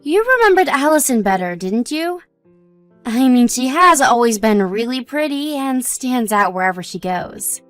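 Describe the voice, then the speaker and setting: A woman playing a gentle, modest young wife in a scripted audio story, talking in a reassuring, explanatory way. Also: on the high side, very animated, moderate pace, no room sound, faint music behind. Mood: casual, chatty.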